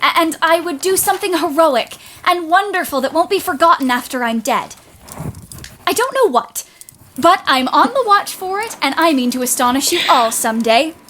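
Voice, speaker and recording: A high, youthful voice for a bold teenage girl, acted by a woman in a period audio drama. She is eager and ambitious, speaking with spirited conviction. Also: lively intonation, moderate pace, sharp tone, a little hiss or hum, heavily acted. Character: spirited, ambitious teenage sister who longs to write. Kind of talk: audio play acting.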